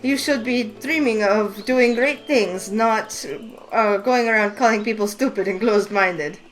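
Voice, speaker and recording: A woman's voice: on the high side, unhurried, lightly acted, low-quality recording. A woman voicing a wise, teasing older witch who runs a shop, in a game read-through over faint music. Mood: knowing. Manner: teasing, knowing and calm. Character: wise sly older female witch shopkeeper. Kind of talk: voice acting a visual novel.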